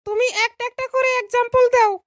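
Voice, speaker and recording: A woman's voice: very high-pitched, steady tone, fast, dry recording, clean studio sound. A woman voicing a polite young female schoolteacher in a comedy cartoon, instructing her pupils. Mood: teacherly, questioning. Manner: instructing, addresses pupils in turn.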